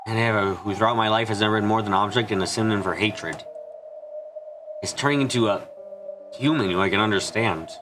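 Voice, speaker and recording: A man giving an earnest amateur dramatic reading of a visual novel, halting for dramatic pauses. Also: fairly low pitch, background noise. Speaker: amateur fan dubber. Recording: music behind the voice. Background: background music.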